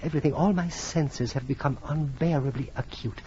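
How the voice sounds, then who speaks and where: A man's voice: on the high side, a little fast, warm tone, close to an everyday voice. A man acting a tormented, morbidly sensitive aristocrat in 1940s radio drama, nervous and melodramatic, repeating his words. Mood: agitated, anguished. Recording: dull, noisy vintage radio recording.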